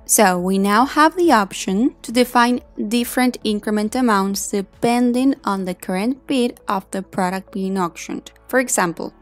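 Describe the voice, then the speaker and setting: A woman narrating a software tutorial in an instructional way, explaining step by step with casual check-ins. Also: mid-range voice. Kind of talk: instructional screen-recording narration. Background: background music.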